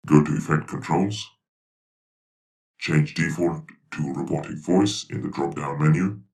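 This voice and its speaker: Very deep robot instructor. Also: male voice, steady tone, measured pace, creaky, darkish tone, distant mic, exaggerated voice. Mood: instructive. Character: instructive robot speaking for a video channel. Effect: robotic pitch-lowered voice with flanger and delay. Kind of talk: step-by-step tutorial instruction.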